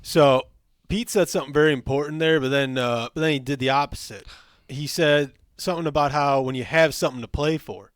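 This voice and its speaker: A man's voice: fairly high pitch, fairly quick, smooth, no room sound. A man giving his opinions as a panelist in casual sports chat.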